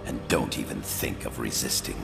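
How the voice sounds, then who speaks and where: A scheming Soviet spymaster from a video game, with an extremely deep, gravelly voice that creaks heavily. He is pompous and menacing, swinging theatrically through his lines over loud dramatic music. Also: fairly even intonation, moderate pace, exaggerated voice. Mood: cold, commanding. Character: gloating, treacherous older male Soviet spymaster villain.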